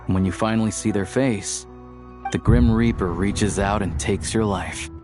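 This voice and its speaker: An actor playing a level-headed, earnest young man in a video game, explaining things in a measured way. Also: lowish voice, fairly even intonation, over music, natural voice. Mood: soft, reflective.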